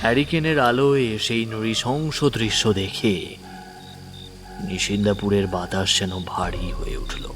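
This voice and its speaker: A man's voice: fairly low pitch, fairly even intonation, unhurried. A man narrating a horror audio story dramatically, sometimes dropping to a whisper. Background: music.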